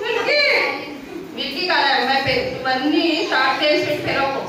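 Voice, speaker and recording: High-voiced woman presenter in a very echoey hall. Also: steady tone, fast talker. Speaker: puppeteer and children's presenter. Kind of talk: live puppet show banter with children. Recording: echoey hall, distant mic, noisy crowd.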